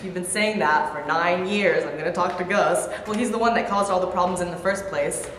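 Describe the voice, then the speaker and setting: A young actress in a staged play reading, playing a caring, churchgoing wife who pleads and nags. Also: female voice, fairly low pitch, brisk, fairly bright tone, lightly acted. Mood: pleading. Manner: pleading, nagging. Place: large rehearsal studio. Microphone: far camera. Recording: roomy echo with room noise.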